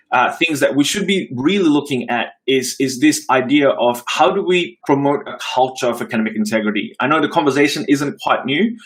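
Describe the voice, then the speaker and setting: A man with an Australian accent, raised in Singapore, giving a thoughtful interview answer as an associate dean, with frequent restarts and fillers. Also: medium pitch.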